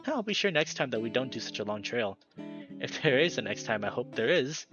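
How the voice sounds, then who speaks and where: A man voicing a gentle, apologetic male creature friend with big ears in a visual novel, with guitar music behind him. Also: mid-range voice, very animated, fairly quick, close to an everyday voice. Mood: friendly. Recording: dull lo-fi audio with guitar music.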